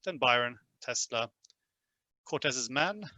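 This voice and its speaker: Gm guy, bright voice, lots of uhs and ums, dry close mic. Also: medium pitch, expressive, takes it slowly, no room sound. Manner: hesitant, many fillers. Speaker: game master. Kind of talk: narrating a tabletop game.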